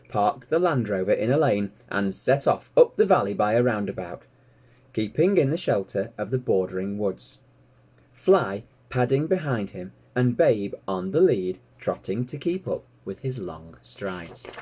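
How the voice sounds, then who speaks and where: A man narrates a children's storybook like a teacher reading to a class. His voice is warm-toned and a little husky, with very lively rises and falls. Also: fairly low pitch, measured pace.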